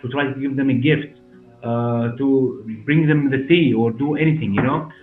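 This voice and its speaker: A man in his forties with a Syrian Arabic accent, answering interview questions in halting, repetitive non-native English. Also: medium pitch, steady tone. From Damascus, Syria. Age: 40s. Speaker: hair clinic director and interview guest. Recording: roomy, muffled, narrow sound with music behind.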